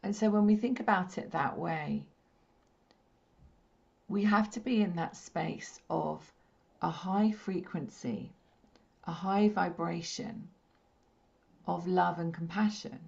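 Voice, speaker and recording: Lady with a croaky throat, real slow and low, gentle soothing healer talk. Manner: gentle, repetitive, soothing delivery. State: slightly croaky, hoarse throat. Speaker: spiritual healer leading a live session. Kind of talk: slow meditative teaching talk. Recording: dull lo-fi stream audio.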